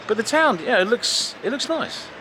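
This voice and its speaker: A middle-aged man with a British accent, chatting excitedly while walking through town streets outdoors and filming a house-hunting vlog. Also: very high voice, moderate pace, noisy background. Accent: British English. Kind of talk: casual travel vlog. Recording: music playing underneath.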